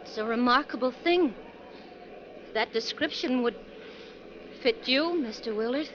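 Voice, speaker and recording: A woman's voice: fairly high pitch, unhurried, close to an everyday voice. A woman playing a nervous, troubled wife on a bus trip in a 1940s radio drama, speaking hesitantly and earnestly. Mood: anxious suspicion. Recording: dull, noisy 1940s radio recording.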